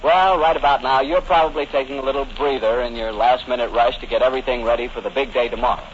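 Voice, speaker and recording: A man's voice: mid-range voice, fairly even intonation, fast. An American man announces in a 1940s radio style. He has a mid-range voice and a genial, folksy, scripted manner. The recording is dull with faint noise. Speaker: announcer and host.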